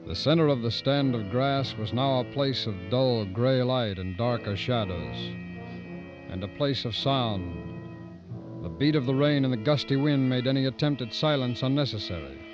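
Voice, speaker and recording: A muffled vintage radio recording with clear music underneath. A man of ordinary pitch narrates a scripted drama in 1950s radio style. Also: normal speed.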